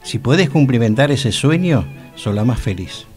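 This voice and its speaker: A man with a Rioplatense Argentine accent co-hosts a radio programme. His voice sits at a medium pitch and he talks informally in the studio, with light music behind him. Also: animated, moderate pace. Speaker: radio co-host. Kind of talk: casual radio chat.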